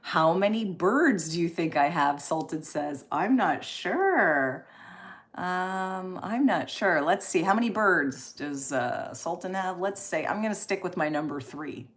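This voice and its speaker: A woman, hosting a casual live stream and chatting in an encouraging, expressive way as she reads and answers comments. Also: lowish voice, unhurried.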